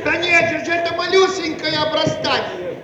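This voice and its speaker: A plain-spoken comrade with a very high, animated voice, bantering in satirical radio comedy style. The old recording is echoey and distant. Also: male voice, normal speed, a crowd in the background. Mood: teasing.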